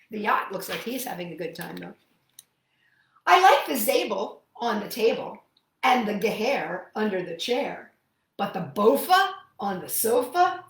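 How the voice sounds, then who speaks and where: A woman hosting children's storytime, reading picture books aloud and chatting to young listeners in a playful, expressive, sing-song manner. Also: fairly high pitch, echoey room.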